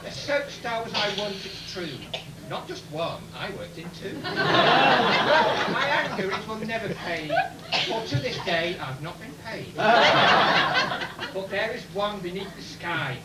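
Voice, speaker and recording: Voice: medium pitch, big pitch swings, a little slow, over a noisy crowd. A performer playing a mean, boastful wicked queen in an amateur pantomime, declaiming in haughty rhyme. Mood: haughty, villainous. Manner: theatrical rhyming declamation, haughty. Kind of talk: amateur pantomime villain verse. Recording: distant, echoey, noisy theatre bar recording.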